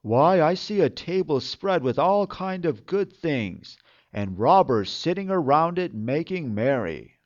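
A man voicing a friendly, encouraging donkey with a hearty, expressive manner in a fairytale. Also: a little high, measured pace, highs cut off. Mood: excited. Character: friendly, encouraging male donkey, leader of animals.

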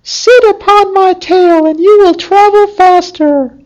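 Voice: extremely high pitch, fairly even intonation, takes it slowly, dull audio, pitched above the performer's own voice. A storyteller voicing a talking fox from a fairy tale, who gives earnest, instructive counsel. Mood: helpful. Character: high-voiced talking fox giving wise counsel. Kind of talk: storybook character voice.

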